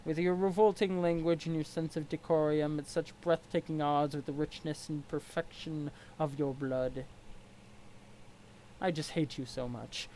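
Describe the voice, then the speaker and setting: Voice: mid-range voice, fairly even intonation, unhurried, dark tone, a little hiss or hum, close to an everyday voice, rough recording. A reader voicing a stern, formal male troll with a pompous manner. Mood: contemptuous. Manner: formal, pompous, stiff diction. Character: stern, haughty male troll of great strength.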